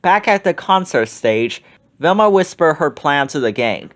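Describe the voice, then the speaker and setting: A man narrating a children's storybook aloud with animated, expressive storytelling. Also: fairly high pitch, a little slow. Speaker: children's book reader.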